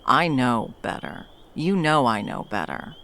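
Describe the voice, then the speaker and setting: A woman's voice: a bass voice, big pitch swings, a little slow, fairly bright tone, slight background noise, exaggerated voice. A woman voicing a centuries-old female monster hunter in an ASMR roleplay. She talks bluntly and swears a lot, then drops into tender murmurs. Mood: remorseful.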